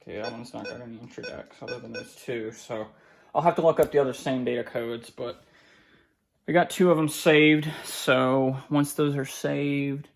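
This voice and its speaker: A person giving a casual hands-on demonstration, halting and muttering to themself while working through each step. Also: medium pitch, steady tone, creaky. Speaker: video creator doing a product setup.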